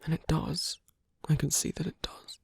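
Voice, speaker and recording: A man playing a suave, flirtatious villain in an ASMR roleplay, speaking softly and intimately in a breathy half-whisper with a teasing, coaxing manner. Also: normal speed, dry recording, clean studio sound, natural voice. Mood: earnest, tender. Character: suave flirtatious male villain wooing a hero at a masquerade.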